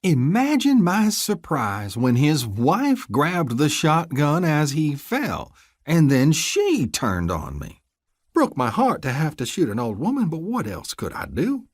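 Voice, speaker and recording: A man's voice: fairly high pitch, wildly swooping pitch, slow, dry recording, studio quality, pitched above the performer's own voice, exaggerated voice. A man voicing a scornful, corrupt small-town deputy, smug and drawling.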